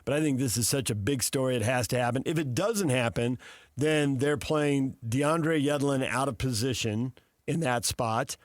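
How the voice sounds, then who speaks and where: An American man hosting sports talk radio with a medium-pitched voice. He explains things at length and with emphasis in a conversational way, close on the mic with a dry sound. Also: normal speed, dry recording. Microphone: close studio microphone.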